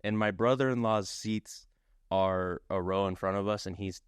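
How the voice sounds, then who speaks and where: A man's voice: sits low, steady tone, normal speed, clean tone, no echo, clean studio sound. A man chatting and telling stories casually as a podcast co-host, full of slang and swearing. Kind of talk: casual chat and storytelling.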